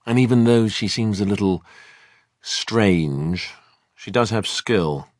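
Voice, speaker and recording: An actor voicing a wry, exasperated young warrior companion in a video game, with a sardonic, expressive manner. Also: male voice, on the low side, takes it slowly, no echo, clean studio sound. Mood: measured, approving. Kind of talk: video game character dialogue.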